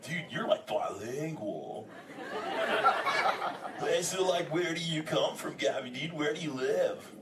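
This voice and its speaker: A man playing a laid-back but excitable male sea turtle who drawls surfer slang while bantering with a live audience as host. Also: a little high, takes it slowly, pauses often, recorded from a distance, a loud crowd. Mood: cheerful. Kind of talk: improvised comic audience banter. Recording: echoey, noisy hall, voice from afar.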